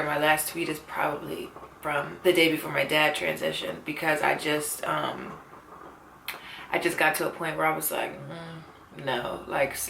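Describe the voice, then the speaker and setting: Young woman in her 20s talking into her camera, low flat gravelly voice, echoey room w/ a bit of hiss. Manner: earnest, open and reflective, with frequent "like" and "you know". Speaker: vlogger. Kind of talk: candid personal talk to camera. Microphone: camera mic.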